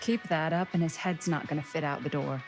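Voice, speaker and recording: A woman's voice: sits low, fairly quick, over music. An actress playing a dry-witted, easygoing young woman with an American accent in a video game. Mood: dry, casual. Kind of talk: naturalistic video game acting.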